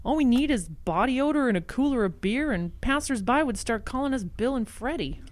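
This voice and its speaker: An actress as a chatty small-town busybody in a radio comedy-drama. Her voice is fairly high and very lively. She is dramatic, fussy and pressing, like a worried friend. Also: brisk, no echo, close to an everyday voice. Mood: exasperated. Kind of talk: audio drama dialogue.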